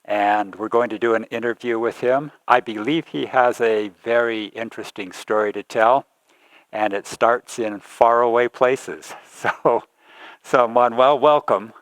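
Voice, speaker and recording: A man's voice: medium pitch, steady tone, unhurried, fairly bright tone, dry recording, rough recording. A man interviewing a church member, hesitant and repeating words as he asks questions.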